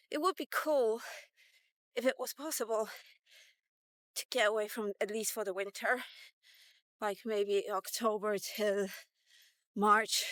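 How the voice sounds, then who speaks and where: Woman in her fifties, slow, higher-pitched, casual storyteller. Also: a bit bright, clean tone, dry recording. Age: 50s. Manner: rambling, informal, reflective. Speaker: vlogger. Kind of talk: casual storytelling vlog, telling own life as a third-person tale.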